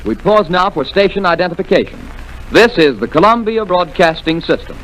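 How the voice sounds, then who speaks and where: A man making formal announcements on a vintage radio network broadcast. His voice is fairly high and strongly inflected, with a faint hiss of background noise. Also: lively intonation, a little fast, fairly bright tone, poor audio.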